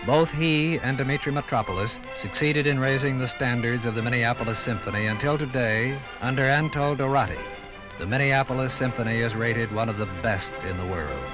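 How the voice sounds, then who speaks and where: An American man reading scripted 1950s radio documentary narration in a formal, measured, authoritative manner. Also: medium pitch, poor audio. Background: music.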